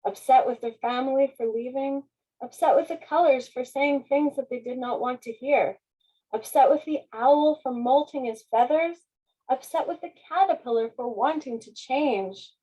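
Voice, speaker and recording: A woman's voice: sits high, moderate pace, dark tone, husky, rough recording. A woman, a children's author, speaking at a public reading of a picture book. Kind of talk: slow storybook narration.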